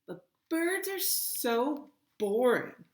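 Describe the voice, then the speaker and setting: Woman putting on a whiny young boy, higher-pitched. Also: big pitch swings, very slow, exaggerated voice. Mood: bored whining. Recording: echoey room.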